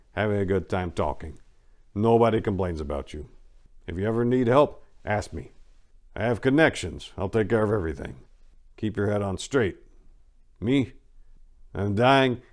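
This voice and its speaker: A man voicing a calm, plain-spoken dying man who gives blunt, reassuring advice. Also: fairly low pitch, lightly acted. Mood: calm, firm. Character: dying man, calm, blunt, advising a troubled younger acquaintance.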